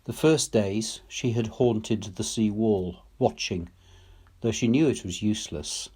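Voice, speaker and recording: A man reading literary fiction aloud as a storyteller, in a measured, solemn manner, phrase by phrase. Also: lowish voice, warm tone, husky. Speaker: story reader, teacher.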